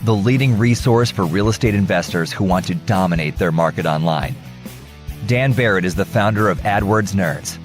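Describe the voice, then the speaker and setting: A man with a lowish voice reads a scripted podcast opening in a bright promotional manner. Guitar music plays clearly behind him throughout the read. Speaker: announcer. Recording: intro read over music.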